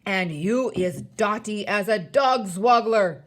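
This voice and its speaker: A gruesome, man-eating giant from a children's storybook, acted out by a woman reader. He booms and bellows like a bully, hurling nonsense insults in mangled grammar. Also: slow pace, close to an everyday voice. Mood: scornful. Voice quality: booming, thunderous shouting.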